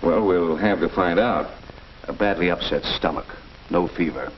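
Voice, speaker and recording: An actor playing a patient family doctor who explains things didactically in an old educational film. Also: male voice, low voice, normal speed, close to an everyday voice. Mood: matter-of-fact. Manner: didactic, rhetorical questions. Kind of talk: mid-century educational film acting. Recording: dull, noisy old film soundtrack.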